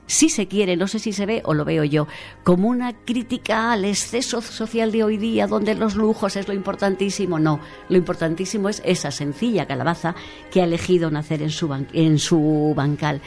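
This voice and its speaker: Enthusiastic woman, lowish voice. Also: a little mellow. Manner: enthusiastic, emphatic, repeats words. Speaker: podcast contributor. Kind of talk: casual chat.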